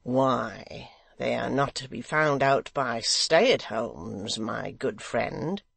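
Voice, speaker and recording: A woman voicing a crafty old blind man who speaks in a coaxing, insinuating, measured way. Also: a bass voice, vocal fry, breathy edge, no room sound, heavily acted. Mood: sly.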